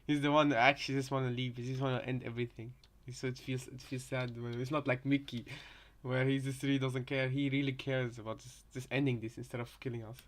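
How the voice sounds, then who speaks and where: Halting man giving casual reactions. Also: mid-range voice, level tone, slight background noise.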